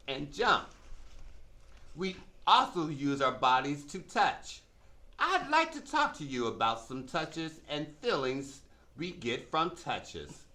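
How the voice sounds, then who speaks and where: A man's voice: a little high, bright, forceful tone. A man narrating a scripted educational puppet show, explaining things simply and emphatically to children.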